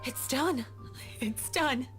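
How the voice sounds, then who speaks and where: A woman's voice: high voice, slow. A woman playing a guilt-ridden young businesswoman in a visual novel game, speaking with earnest, dramatic emotion. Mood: grieving. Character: guilt-ridden young businesswoman confronting her dead first love. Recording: music behind the voice.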